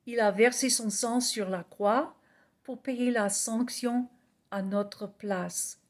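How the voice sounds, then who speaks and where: Slow earnest woman preacher. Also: on the high side. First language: English. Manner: earnest, measured, reading aloud. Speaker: evangelist. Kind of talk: slow scripted gospel message.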